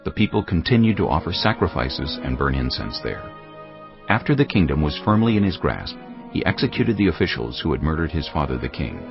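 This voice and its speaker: A man narrating scripture in a solemn, measured way over music. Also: a bass voice, sing-song, lo-fi sound.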